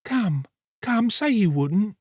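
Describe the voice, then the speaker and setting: A man's voice: high voice, big pitch swings, measured pace, darkish tone, no echo, clean studio sound, voice pushed up, full character voice. A man voicing a weary, ailing young woman in an audiobook, tender, plaintive and coaxing. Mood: tender pleading. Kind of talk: dramatised audiobook reading of a classic novel.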